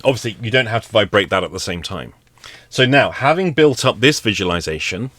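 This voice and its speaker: A man giving relaxed spoken instruction on a meditation practice, explaining it step by step in a wry, conversational way. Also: lowish voice, dry recording. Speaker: meditation teacher.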